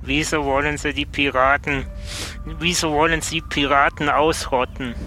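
A man's voice: fairly high pitch, level tone, normal speed, sharp tone, faint music behind. A man playing an inquisitive adventurer in an improvised audio adventure. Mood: questioning.

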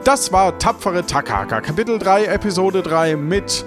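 A man hosting a podcast, speaking casually and unscripted, sometimes halting and repeating words. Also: sits high, lively intonation. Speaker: podcast host. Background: background music.

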